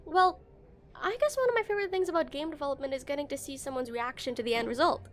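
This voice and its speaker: A woman's voice: sits high, fast, close to an everyday voice. A woman playing an awkward, shy female game developer on a dinner date in a romantic audio roleplay, flustered and hesitant. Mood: shy, friendly. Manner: flustered, hesitant, stammering, giggly.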